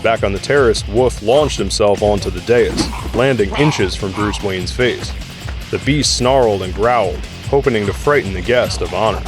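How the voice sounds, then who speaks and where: A man narrates an audio drama in scripted prose. His voice sits on the low side, with music playing softly behind him.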